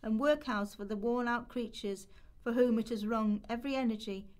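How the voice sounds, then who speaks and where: A woman with a fairly high voice performs scripted readings for a radio play. She delivers historical quotations as dramatic monologue. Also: moderate pace, a little mellow.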